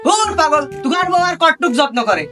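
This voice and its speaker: Man playing boastful rural husband, high voice, quick, dismissive, comedy skit, music underneath. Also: very animated. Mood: scornful bragging. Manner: dismissive, bragging. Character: boastful village husband bullied by his wife.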